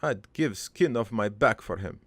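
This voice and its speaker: A man playing a crude but wistful old dead father in a cartoon, rambling through his life story in a halting, gravelly, improvised monologue. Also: on the low side, expressive, dry recording. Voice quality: gravelly, creaky.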